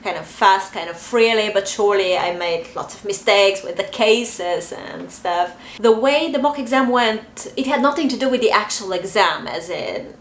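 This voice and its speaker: A woman's voice: mid-range voice, lively intonation, moderate pace, few pauses, a little hiss or hum. Bulgarian-accented woman chatting in echoey room. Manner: chatty, hesitant, many fillers. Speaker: vlogger. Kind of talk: casual talk to camera. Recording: echoey room, faint ambience.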